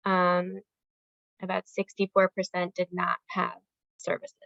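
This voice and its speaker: A woman's voice: fairly low pitch, monotone, unhurried, creaky, fairly bright tone, no echo. A woman moderating a webinar panel, introducing speakers and reading out poll results matter-of-factly. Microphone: video call. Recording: dull dry video call audio.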